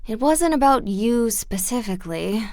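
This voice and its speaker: An actress playing a singer who talks in a soft, placating, pleading way, torn between her husband and an old friend. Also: a little high, lively intonation, normal speed, no room sound, clean studio sound.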